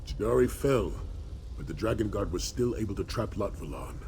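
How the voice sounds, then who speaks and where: Deep-voiced, grave warrior-scholar ally. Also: male voice, animated, gravelly, a little mellow. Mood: earnest, intent. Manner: measured, expository storytelling. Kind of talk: fantasy video game acting. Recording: noisy game ambience behind.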